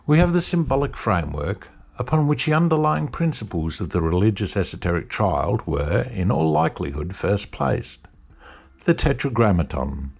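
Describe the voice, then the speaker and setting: A man reads a prepared lecture in a measured, deliberate way. His voice sits low and has a husky, gravelly texture. His intonation rises and falls with plenty of movement. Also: darkish tone. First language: English. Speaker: podcast host. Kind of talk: scripted narration.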